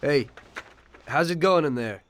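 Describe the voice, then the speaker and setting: A man playing a casual, low-key young gangster in a crime video game. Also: lively intonation, unhurried. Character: laid-back young American gangster, polite but reluctant.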